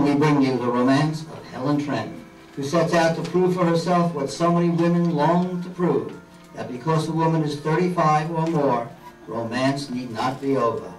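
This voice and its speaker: A man's voice: fairly high pitch, normal speed, soft background music, lightly acted. An older man playing a dramatic announcer of an old-time radio soap opera. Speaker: amateur radio play announcer. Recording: echoey room from far off.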